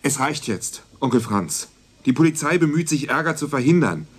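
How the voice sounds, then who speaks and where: Young night-shift police dispatcher, radio crime play; husky mid-range voice, restrained, concerned, patient. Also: male voice, medium pitch, lively intonation, moderate pace. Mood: firm, soothing. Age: young adult. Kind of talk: German radio crime drama acting.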